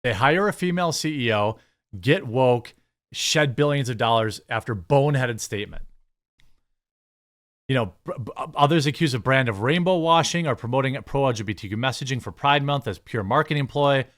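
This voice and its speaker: An American man with a mid-pitched voice hosts a news commentary show. He moves between reading articles aloud and reacting in his own words. His delivery is blunt and sarcastic, with exasperated asides. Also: lively intonation, moderate pace, a bit bright.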